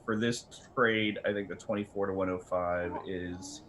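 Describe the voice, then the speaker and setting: A man's voice: sits low, fairly even intonation, moderate pace, fairly bright tone. A man giving casual, conversational expert advice as a guest on a video call. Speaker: camera company representative, guest expert. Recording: slightly noisy video call.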